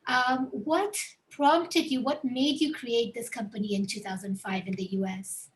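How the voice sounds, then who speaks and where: A woman's voice: a little high, fairly even intonation, measured pace, poor audio. A woman hosting an interview over a video call, asking polite questions and answering with short agreements. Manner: brief affirmations, polite questions. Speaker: interview host.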